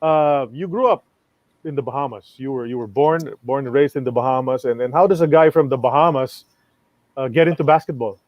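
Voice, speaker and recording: A man's voice: mid-range voice, brisk, dry recording, highs cut off. A man hosting a podcast who introduces the guest and asks questions in a casual way. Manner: casual, with frequent fillers. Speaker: podcast host.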